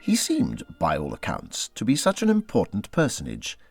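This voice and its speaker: Male audiobook narrator, lowish voice, highly animated intonation, close dry sound, expressive storybook reading. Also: moderate pace, gravelly, husky, faint music behind.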